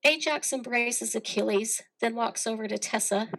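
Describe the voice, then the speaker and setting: A woman narrates a play by reading its stage directions. Her voice is a little higher than average. The delivery is scripted narration. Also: normal speed, gravelly, a bit bright, low-quality recording.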